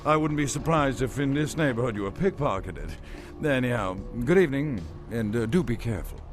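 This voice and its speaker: Man playing fussy, genteel gentleman over music. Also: medium pitch, animated, brisk, lightly acted. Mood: polite, sympathetic. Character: polite, fussy, well-mannered stranded gentleman motorist. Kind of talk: video game voice acting.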